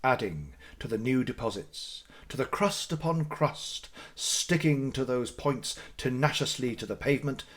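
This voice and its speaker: A man narrating classic literature as a dramatic audiobook, with theatrical, deliberate phrasing and pauses for effect. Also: medium pitch, lively intonation.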